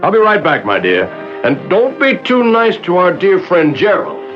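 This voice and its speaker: An actor playing a possessive, sardonic professor in an old-time radio drama, with a theatrical delivery. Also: male voice, high-pitched, huge pitch swings, normal speed, over music. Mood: smug, mocking. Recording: dull old radio recording.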